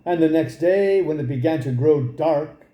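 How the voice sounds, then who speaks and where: A man narrating a fairy tale as a storybook reader, in an expressive style. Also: on the high side, normal speed, reverberant.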